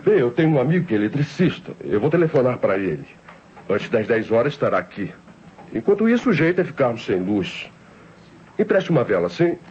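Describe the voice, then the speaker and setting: An actor playing a cold-blooded, scheming nephew who narrates his murder plot in deliberate, theatrical 1960s radio crime drama style. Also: medium pitch, very animated, a little mellow, a little hiss or hum, lightly acted. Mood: feigned helpfulness. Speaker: narrator and protagonist. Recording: muffled old radio recording with music.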